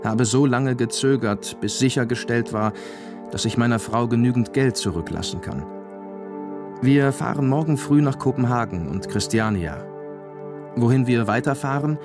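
An actor playing a matter-of-fact husband reading out his letter in a literary radio drama, measured and sober. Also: mid-range voice, over music, lightly acted. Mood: sober, composed.

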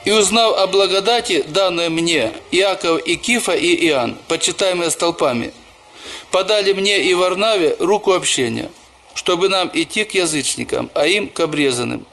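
A man's voice: high-pitched, lively intonation, moderate pace, fairly bright tone, slight background noise. A man who is a church pastor, preaching a sermon and telling personal stories, often calling the listeners brothers and sisters.

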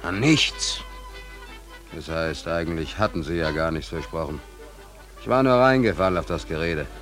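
A man's voice: deep voice, lively intonation, normal speed, fairly bright tone. A man playing a stubborn, wronged sailor who protests in an old 1940s radio play. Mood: bitter. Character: wronged, indignant male sailor signed on as a ship's trimmer. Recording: vintage 1940s radio recording with music.

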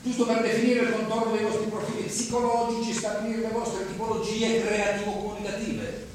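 A man's voice: sits high, flat delivery, a little fast, crowd noise, close to an everyday voice. An actor playing a smug male job-agency recruiter, talking in corporate jargon and chuckling, in a live stage play captured from far off with echo and noise. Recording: distant echoey stage with noise.

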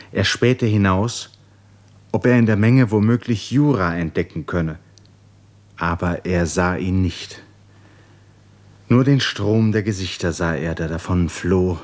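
A man narrating a 1950s German radio drama in a scripted literary style, with dramatic, measured storytelling. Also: low-pitched.

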